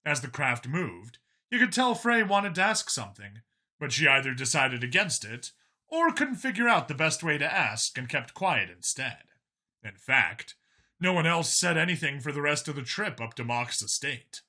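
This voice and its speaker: A man reading a scripted story as an expressive, dramatic audiobook narrator. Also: fairly high pitch, moderate pace.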